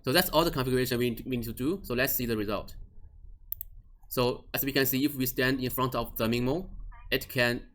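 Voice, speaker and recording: A man at an ordinary middle pitch walks through a software configuration step by step. He talks plainly and patiently, often restarting sentences and filling pauses with "uh". His phrasing is slightly non-native. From Australia or New Zealand region office. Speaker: product trainer. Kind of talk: screen-recorded software tutorial.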